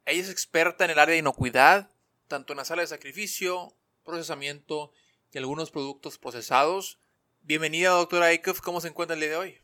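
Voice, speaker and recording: A man hosts an interview podcast. His voice sits a little high and is clearly bright and forceful. He addresses his guest formally and courteously. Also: fast. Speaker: podcast host.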